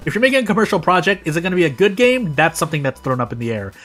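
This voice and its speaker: An American man with a fairly high voice streams a game with music playing faintly behind him. He talks casually and loosely, wandering between thoughts and correcting himself mid-sentence. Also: sing-song, very fast, a bit bright. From United States. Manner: rambling, self-correcting. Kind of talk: casual live gameplay commentary.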